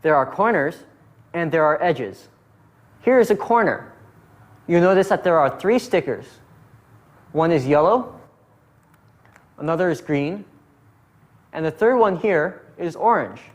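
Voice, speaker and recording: A man explaining a puzzle method as an instructor, patient and methodical in his narration. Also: fairly high pitch. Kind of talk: step-by-step tutorial narration.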